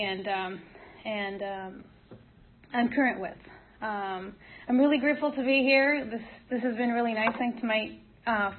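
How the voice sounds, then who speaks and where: A woman from Morocco giving a personal testimony as a speaker at a support group, in a halting, hesitant manner with repeated false starts. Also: a little high. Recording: tinny phone-like audio, clear noise, clicks.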